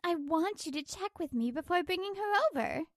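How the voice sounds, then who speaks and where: A woman's voice: very high-pitched, expressive, moderate pace, no room sound, clean studio sound. A woman voicing an exasperated, aggrieved working wife in a scripted manga dub, narrating and arguing. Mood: exasperated, reproachful.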